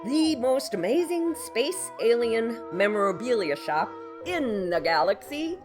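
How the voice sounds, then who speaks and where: A woman playing a kooky, folksy, alien-obsessed shopkeeper who pitches theatrically in a parody local radio commercial. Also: very animated, measured pace. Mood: cheery sales pitch. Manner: theatrical, folksy, dramatic salesmanship. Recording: music bed under the ad.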